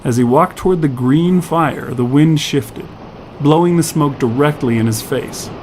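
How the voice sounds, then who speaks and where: Higher-voiced male story narrator. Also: a little slow, darkish tone, slight background noise, poor audio. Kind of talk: scripted fiction narration.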